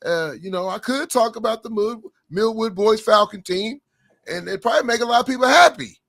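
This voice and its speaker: A man co-hosting a casual high school basketball podcast, a little hoarse and rambling, repeating words as he goes. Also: brisk, a bit bright. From Oklahoma. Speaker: podcast co-host. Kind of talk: casual sports chat.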